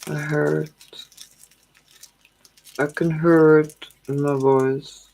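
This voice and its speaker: A weary woman talks to her online viewers at a slow, unhurried pace. Her voice is gravelly and drowsy, soft and calming. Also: deep voice. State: tired. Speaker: tarot livestream host. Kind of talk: casual livestream chat with viewers.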